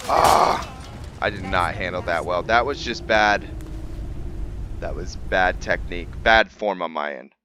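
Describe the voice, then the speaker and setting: A man's voice: low-pitched, unhurried, sharp tone, a little hiss or hum. A man giving casual, rambling let's-play commentary as a gaming host.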